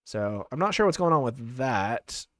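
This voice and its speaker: A young man from Canada chats loosely while he plays, telling long stories packed with "like" and "um". He breaks off now and then to answer chat messages. His voice sits at an ordinary middle pitch for a man. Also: big pitch swings, unhurried, a bit bright, no echo, studio quality. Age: young adult. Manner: rambling, filler-heavy storytelling, reads chat aloud. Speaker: streamer. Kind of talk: casual live-stream chat while gaming.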